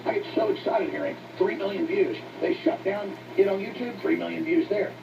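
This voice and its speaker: A man hosting a talk show, delivering impassioned, exhorting political monologues in a gravelly voice. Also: high-pitched, a little fast, distant mic. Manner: fervent, exhorting. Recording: noisy, dull radio playback picked up from afar.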